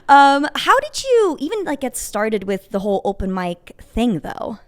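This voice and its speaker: A woman's voice: fairly high pitch, big pitch swings. A woman from Switzerland hosting a podcast, chatting playfully and chiming in often with short encouraging replies. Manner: playful, quick encouraging interjections. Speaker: podcast host. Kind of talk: casual interview chat.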